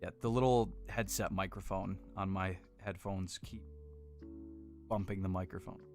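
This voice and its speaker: Casting: American male streamer, low voice, laid-back rambling chat with viewers, close condenser mic, music underneath. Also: steady tone, moderate pace. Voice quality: deep voice. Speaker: livestream host building model kits. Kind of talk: casual chat with live viewers. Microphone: close desk condenser microphone.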